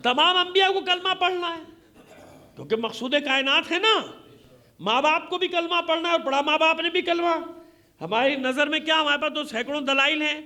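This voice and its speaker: A man's voice: very high voice, normal speed, bright, forceful tone. A man preaching an impassioned majlis sermon in a combative, declamatory way, using rhetorical questions and emphatic repetition. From Pakistan.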